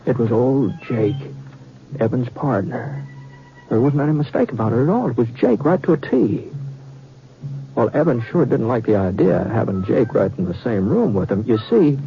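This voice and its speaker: An actor playing a folksy western yarn-spinner, narrating with dramatic pauses in old-time radio style. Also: male voice, medium pitch, big pitch swings, vocal fry, a little hiss or hum. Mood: folksy, suspenseful. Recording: dull vintage radio recording.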